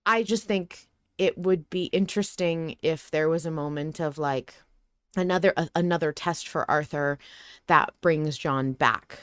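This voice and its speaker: A woman's voice: deep voice, measured pace, fairly bright tone, clear, resonant tone, no echo, clean studio sound. A woman hosting a podcast, casually discussing fiction and thinking aloud, often rephrasing and repeating for emphasis. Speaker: podcast host. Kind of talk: casual discussion of an audio drama.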